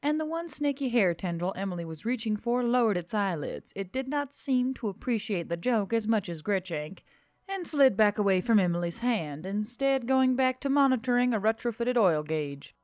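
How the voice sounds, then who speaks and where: A woman reading scripted fiction as an expressive story narrator. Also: fairly high pitch, normal speed, no room sound, studio quality. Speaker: audiobook narrator.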